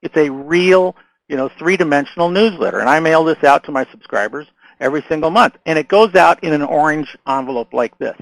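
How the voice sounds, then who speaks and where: A man's voice: a little high, a little fast, vocal fry, no echo, poor audio. A man who is losing his voice gives a casual marketing lesson, talking loosely with restarts and checking in with "right?" and "okay?". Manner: conversational, many restarts and fillers, frequent "right?" and "okay?". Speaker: marketing consultant. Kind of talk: casual instructional talk to camera.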